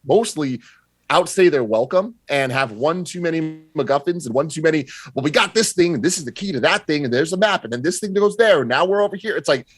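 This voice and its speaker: A man's voice: on the high side, lively intonation, fast, few pauses, slightly rough, dry recording. A man giving a casual, conversational movie review as a podcast guest, explaining his points with examples.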